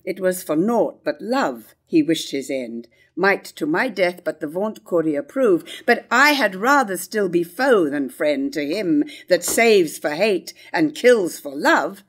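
An older woman playing a conflicted, grieving queen in a staged reading of early modern verse drama, with deliberate, declamatory delivery. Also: fairly low pitch. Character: conflicted grieving queen, torn between love and hate. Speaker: actor in a staged play reading. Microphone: stand microphone at a lectern.

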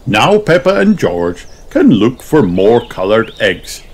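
A man reading a children's storybook aloud as narrator, in an expressive, deliberate, child-directed style. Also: medium pitch, background noise. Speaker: children's book reader. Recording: music behind the voice. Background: music.

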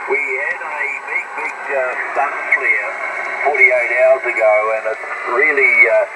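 A New man chats as a ham operator at a middle pitch. He comes over a shortwave radio, buried in very loud noise. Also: measured pace. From New Zealand. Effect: heard over shortwave radio. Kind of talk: ham radio contact, conversational. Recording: very noisy long-distance radio reception.

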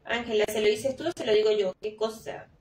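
A woman's voice: a little high, fairly even intonation, a little fast, darkish tone, echoey room. A young woman with a Peruvian Spanish accent, chatting casually with viewers and exclaiming at herself while she streams a game. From Peru. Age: young adult. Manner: chatty, reads chat aloud, exclaims at herself. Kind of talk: casual live-stream chat while gaming. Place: room indoors at a computer.

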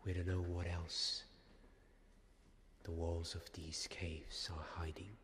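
A young man playing a devoted, weary knight in a soft-spoken ASMR roleplay, halting and often slipping into a whisper. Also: deep voice, little pitch movement, a little hiss or hum. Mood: tender farewell. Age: young adult. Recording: long cavernous reverb, faint background ambience.

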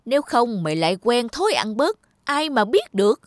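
A woman voicing a greedy, stingy old male landlord in a cartoon, gruff and bossy. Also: a little high, sing-song, normal speed. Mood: scolding. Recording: studio voice with loud music.

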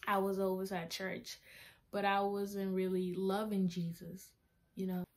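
Teen girl from honduras, honduran accent, slow chatty webcam vlog in her bedroom. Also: mid-range voice, level tone, darkish tone. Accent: Honduran Spanish. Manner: chatty, restarting and laughing at herself. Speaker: vlogger sharing testimony. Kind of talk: casual talking-head vlog. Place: small bedroom with a flag on the wall. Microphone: webcam in front of her face.

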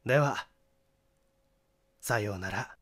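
A man voicing a shrewd, formally polite detective in an audiobook, pressing his accusation in a theatrical, deliberate manner. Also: fairly low pitch, a bit bright, pitched below the performer's own voice. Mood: coolly triumphant. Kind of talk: dramatic audiobook character acting.

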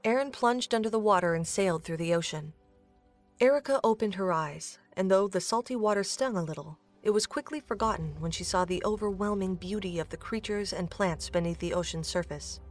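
A woman's voice: mid-range voice, fairly quick, soft background music. A woman reading scripted narration for an audio drama, as a storyteller.

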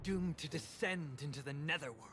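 An actor playing a solemn, aloof young leader in a video game, speaking in a formal, measured, grandiose way. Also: male voice, fairly high pitch, fairly bright tone, slight background noise, close to an everyday voice. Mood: solemn, menacing. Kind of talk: dramatic video game voice acting. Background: orchestral game music.

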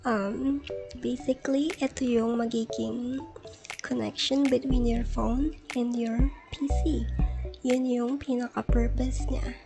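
A woman's voice: on the high side, fairly even intonation, unhurried, creaky, poor audio. A woman with a Filipino accent giving a casual step-by-step tutorial, switching between two languages. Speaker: tech tutorial host. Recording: music playing behind the voice. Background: background music.

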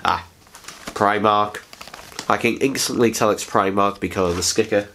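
A man's voice: lowish voice, moderate pace, fairly bright tone, slight background noise. Nasal young man with a cold. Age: young adult. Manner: chatty, rambling, self-mocking asides. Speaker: vlogger. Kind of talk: casual unboxing chat. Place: living room at night, indoors. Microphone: camera on a tripod, sitting close.

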